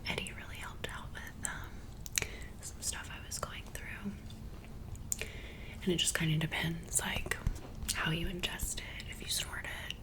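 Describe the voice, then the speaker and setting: A woman's voice: fairly low pitch, fairly even intonation, unhurried, long pauses, lightly acted, low-quality recording. A woman voicing a friendly teenage cheerleader in a whispered ASMR roleplay, chatty and welcoming, close to the mic. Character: friendly teen girl cheerleader in a club. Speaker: ASMR creator.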